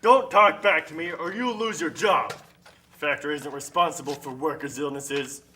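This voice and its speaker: Casting: a man as a bullying puppet factory boss, high male voice, curt threats and barked orders. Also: moderate pace, bright, forceful tone, a little hiss or hum. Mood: cold, threatening. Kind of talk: amateur puppet show acting.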